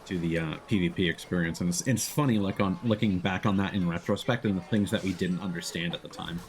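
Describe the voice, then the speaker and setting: A man's voice: low-pitched, fast talker. A man livestreaming casual gameplay commentary, thinking aloud in a playful way. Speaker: live streamer.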